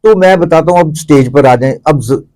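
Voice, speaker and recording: A man's voice: a little high, mellow. A man with a Pakistani accent giving explanatory answers as a guest commentator in an interview.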